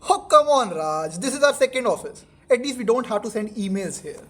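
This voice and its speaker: Man acting a husky, teasing young bachelor. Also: very high voice, animated, fairly quick. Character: teasing, carefree single young office worker. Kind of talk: amateur radio drama acting.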